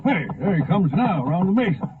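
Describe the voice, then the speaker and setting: Folksy cowboy ranch hand, highish swoopy voice, american 1950s radio western, tinny sound. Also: male voice, lively intonation, a little fast, husky, faint music behind, full character voice. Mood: plain, curious. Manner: folksy, clipped sentences. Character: laconic cowboy ranch hand, helpful and plain-spoken. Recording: tinny vintage 1950 radio broadcast.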